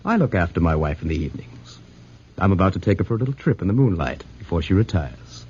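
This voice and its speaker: A deep, imperious master of the house. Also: male voice, big pitch swings, quick pace, darkish tone. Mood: cold, curt. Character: cold, commanding wealthy husband, master of the house. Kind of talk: 1940s radio drama acting. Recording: muffled, noisy 1940s radio recording.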